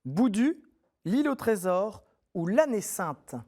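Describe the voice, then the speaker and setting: Lively, encouraging quiz show host, man. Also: sits high, slow. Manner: friendly, encouraging, clear question reading. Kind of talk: TV quiz hosting, reading questions.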